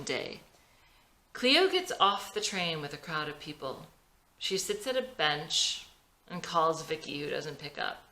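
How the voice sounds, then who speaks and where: A woman's voice: deep voice, bright, forceful tone, slight background noise. A woman reading a screenplay aloud as scripted narration, in a roomy, echoing recording.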